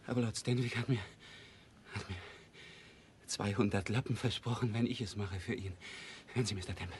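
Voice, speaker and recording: Halting, wounded small-time crook acted by a man. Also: lowish voice, steady tone, a little hiss or hum, lightly acted. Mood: weak, pleading. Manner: halting, broken phrases. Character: wounded small-time crook, frightened, gasping out his story. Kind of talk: German radio crime drama acting.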